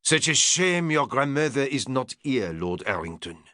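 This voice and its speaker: A man's voice: medium pitch, big pitch swings, unhurried, bright, forceful tone, dry recording, clean studio sound. A man putting on a proud French chef. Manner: indignant, theatrical.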